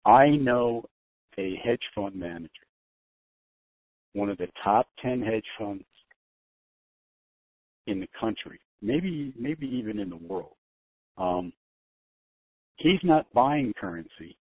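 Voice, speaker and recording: An older man speaks over a narrow, tinny phone connection with very little room sound. His voice sits on the low side. He rambles in a halting way, often doubling back on his words. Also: expressive, dry recording. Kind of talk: rambling conference-call talk. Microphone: phone conference call.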